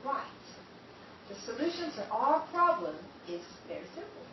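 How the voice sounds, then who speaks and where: A woman's voice: sits high, very animated, moderate pace. A woman playing a haughty, conniving older conspirator with an arch, pompous, theatrical delivery in an audio drama. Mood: sly. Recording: muffled, noisy, echoey distant recording.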